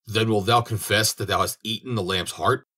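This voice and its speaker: A man's voice: on the low side, steady tone, normal speed, sharp tone, breathy edge, voice pushed up. A man voicing a stern, saintly old man who speaks in solemn, archaic phrases.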